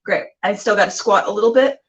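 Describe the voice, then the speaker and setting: A woman's voice: mid-range voice, quick pace, far from the mic. A bubbly, theatrical woman chatting casually as she hosts a livestreamed show. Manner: bubbly, theatrical, self-mocking. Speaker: web show host. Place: indoor room with a door. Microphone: camera on a tripod, a little distant.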